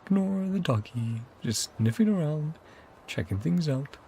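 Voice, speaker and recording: A man's voice: fairly high pitch, animated, measured pace, mellow, a little hiss or hum. A man giving casual let's play commentary, thinking aloud and reading game text out, often with a chuckle. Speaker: game streamer. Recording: game music behind voice. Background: video game music.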